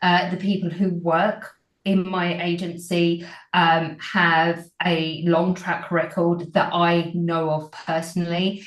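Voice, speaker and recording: A woman's voice: on the low side, level tone, takes it slowly. A woman in her forties, an agency owner, giving earnest interview answers full of ums and restarts. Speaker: real estate agency owner and broker. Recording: roomy echo.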